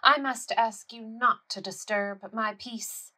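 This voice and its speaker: Casting: actress as haughty gentry widow for radio farce, higher-pitched, bright cutting tone, formal and indignant. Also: female voice, slow pace, full character voice. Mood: haughty, offended. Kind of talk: theatrical audio play acting.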